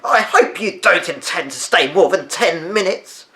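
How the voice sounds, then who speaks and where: A man plays an imperious old grandmother in a children's story. The voice is pitched up and swoops with disapproval. Every line sounds stern and haughty. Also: lively intonation, unhurried, sharp tone, heavily acted.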